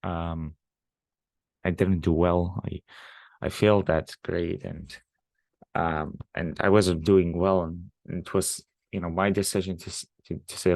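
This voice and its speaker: A young man from Syria speaks in a low, gravelly register, close to the mic in a dry sound. He talks casually as a podcast guest, halting often with fillers and false starts. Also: darkish tone, no echo. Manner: halting, many fillers and restarts. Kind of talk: casual interview talk.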